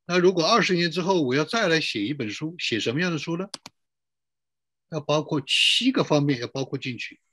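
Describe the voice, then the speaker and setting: A man's voice: fairly high pitch, expressive, brisk, a bit bright, slightly rough, dry recording, clean studio sound. A middle-aged man pastor giving a sermon to an online church, posing rhetorical questions and drawing out emphatic phrases.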